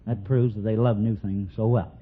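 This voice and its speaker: A man, a quiz contestant, answering politely with earnest sales-pitch explanations. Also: steady tone, normal speed. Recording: muffled, hissy 1940s radio recording.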